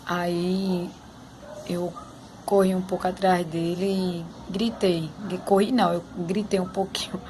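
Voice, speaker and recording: A young woman vlogging about her son's time in hospital, slightly hoarse, talking to her viewers in a heartfelt, chatty way. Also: on the low side, flat delivery, slow pace, a little mellow, a little hiss or hum, poor audio. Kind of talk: emotional personal vlog.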